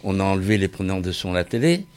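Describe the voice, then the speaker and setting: A middle-aged man speaking in a group discussion, insistent and halting, often repeating phrases as he argues his opinion. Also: low-pitched, sing-song, a little mellow, smooth. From Vietnamese origin. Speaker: discussion participant, former craftsman and sound technician.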